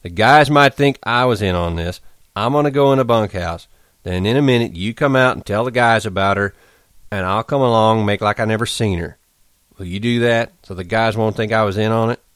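A man's voice: fairly low pitch, fairly quick, fairly bright tone, dry recording, clean studio sound, lightly acted. A man voicing a resigned, stern ranch worker with plain, rustic American speech, in an audiobook. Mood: sharp, determined.

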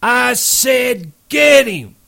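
A man voicing a small, protective ranch hand who shouts urgently. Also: medium pitch, unhurried, no echo, clean studio sound, close to an everyday voice.

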